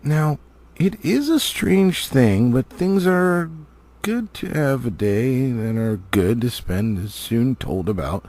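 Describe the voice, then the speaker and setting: A man reading a fantasy storybook aloud in an animated, expressive way, stumbling now and then. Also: medium pitch, takes it slowly. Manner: animated, slightly stumbling cold read. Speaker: amateur audiobook reader.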